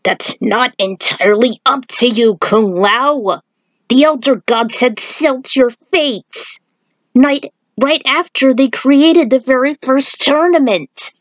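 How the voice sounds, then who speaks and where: A woman's voice: sits high, slow pace, dry recording, voice pushed up, poor audio. A woman voicing a distraught warrior monk who warns of a curse in an urgent, grim, emphatic way for a story. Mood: grim, insistent.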